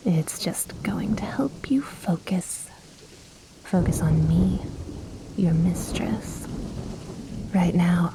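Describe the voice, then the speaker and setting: A woman playing a commanding, possessive vampire noblewoman in an intimate ASMR roleplay, speaking in breathy whispers with a haughty, coaxing, softly menacing manner. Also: on the low side, noisy background.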